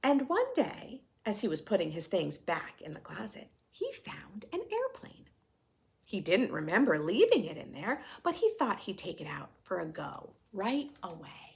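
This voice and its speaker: Animated woman reading to young children. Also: medium pitch, normal speed, creaky. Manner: expressive, questioning asides to young listeners. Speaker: children's story reader and host. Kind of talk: read-aloud storytelling for young children with chatty asides.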